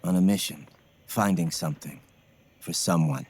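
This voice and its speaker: An actor playing a grim, detached warrior in a video game, speaking huskily in clipped, solemn phrases. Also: male voice, normal speed, darkish tone. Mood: grim, cryptic. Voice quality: husky, low. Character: grim, detached male warrior on a secret mission. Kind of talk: cinematic video game acting.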